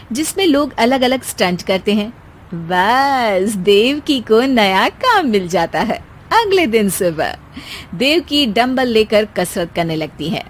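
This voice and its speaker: A woman's voice: fairly high pitch, slight background noise. A woman narrator reading a scripted comic family story.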